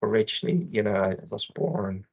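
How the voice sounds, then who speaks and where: Man, interview guest, gravelly, low, halting, full of restarts. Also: animated, darkish tone, dull audio. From Netherlands. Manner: hesitant, many restarts and fillers. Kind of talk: conversational interview.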